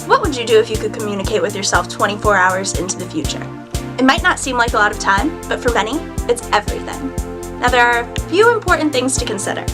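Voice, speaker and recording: A woman's voice: lively intonation, music in the background, natural voice. An actress playing a mysterious female guardian of a magical relic, giving a theatrical, enigmatic address in a drama. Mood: ominous, knowing. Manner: theatrical, enigmatic, deliberate sales-pitch address. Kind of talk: scripted dramatic monologue.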